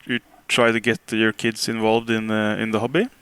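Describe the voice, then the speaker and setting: Smooth-voiced Norwegian male podcast host. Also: on the low side, flat delivery, moderate pace, a bit bright, no room sound. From Norway. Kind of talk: casual podcast chat.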